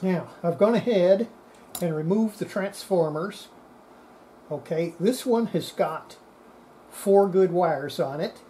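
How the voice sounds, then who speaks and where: A man talks through a hands-on repair in an unhurried, halting way. His voice is mellow and a touch higher than average, often muttering to himself. There is faint noise behind him. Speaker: hobbyist restorer presenting a repair. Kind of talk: unscripted hands-on workshop demonstration. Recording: steady background noise.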